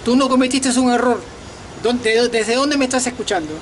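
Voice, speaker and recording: A man in his fifties from Peru, talking straight to the camera outdoors at night in a casual, slangy, animated monologue. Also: very high voice, normal speed, a little hiss or hum. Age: 50s. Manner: animated, talks to viewers directly with slangy asides. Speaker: vlogger and self-declared political candidate. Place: outdoors at night on a balcony over a lit city.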